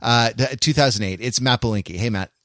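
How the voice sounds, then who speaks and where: A man hosting a casual, joking podcast, rambling along with halting starts and self-corrections. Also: medium pitch, fairly bright tone, no room sound, studio quality. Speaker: podcast host.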